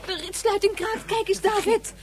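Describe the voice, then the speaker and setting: A woman's voice: extremely high pitch, level tone, moderate pace. An actress playing a cheerful adult woman travelling companion in a radio play, light and teasing in manner.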